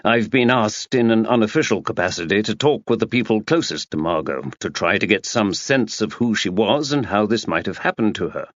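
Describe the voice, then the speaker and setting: A man voicing a courteous investigator who speaks formally and in a measured way. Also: lowish voice, animated, no room sound, muffled recording, natural voice. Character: polite, probing male investigator.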